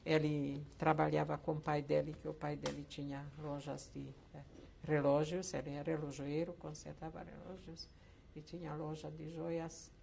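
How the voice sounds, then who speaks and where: An older woman from Czechoslovakia, once a camp survivor, telling her life story slowly with hesitant pauses in an oral history interview. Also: deep voice, completely flat delivery, creaky, darkish tone, a little hiss or hum. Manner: slow reflective storytelling with hesitant fillers.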